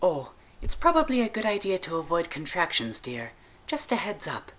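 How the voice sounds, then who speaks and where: Man doing a high-pitched, polite lady doctor. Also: wildly swooping pitch, moderate pace, fairly bright tone. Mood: polite, chatty.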